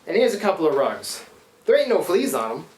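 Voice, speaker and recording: A man playing a rough, humble riverboat skipper in a live radio play, folksy and deferential in his speech and easily flustered. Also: high voice, sing-song, a little fast, a little mellow. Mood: obliging. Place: live stage performance. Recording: roomy live stage recording, faint noise.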